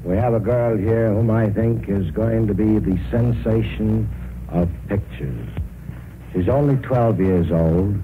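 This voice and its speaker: A man's voice: on the low side, unhurried, soft background music. A man hosting an old-time variety radio show with a folksy, coaxing, avuncular manner. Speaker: radio show host introducing and interviewing a performer. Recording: narrow, noisy vintage radio recording.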